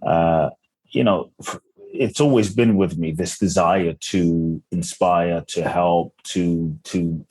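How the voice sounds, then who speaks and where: A man born in Ghana and raised in London, a spiritual speaker answering interview questions calmly and earnestly. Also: deep voice, slow, breathy edge, dry recording, poor audio. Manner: calm, earnest, personal storytelling. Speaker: podcast guest, spiritual speaker. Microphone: remote call audio.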